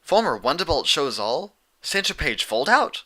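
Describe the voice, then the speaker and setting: A man's voice: on the high side, very animated, moderate pace, pushed and bright, voice pushed up, heavily acted. A man voicing a brash, cocky young female flying pony with a casual manner in a story reading. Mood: incredulous.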